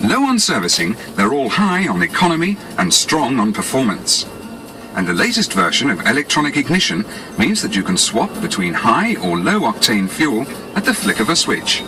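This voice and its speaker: A man's voice: mid-range voice, very animated, normal speed. A British man reading scripted 1980s promotional narration in a polished, explanatory salesman's manner, on a cassette tape. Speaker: advertising narrator. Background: music.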